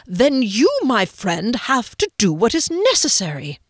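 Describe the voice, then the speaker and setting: A pompous gentleman schemer, put on by a woman reader, full of old-fashioned airs and brisk exasperation. His voice rides up and down with lively emphasis, heard dry and close. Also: a little high, dry recording, pitched above the performer's own voice. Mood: exasperated.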